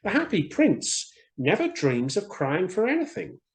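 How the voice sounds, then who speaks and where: A man's voice: high-pitched, huge pitch swings, measured pace, creaky, pitched above the performer's own voice, exaggerated voice. A man voicing a sensible, chiding mother of a little boy in a children's storybook. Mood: reproachful.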